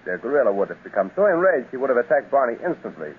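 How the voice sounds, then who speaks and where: An actor playing a knowledgeable adult guide in a 1930s radio serial, measured and explanatory. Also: male voice, mid-range voice, big pitch swings, slightly rough. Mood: explaining. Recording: tinny scratchy 1930s radio transcription.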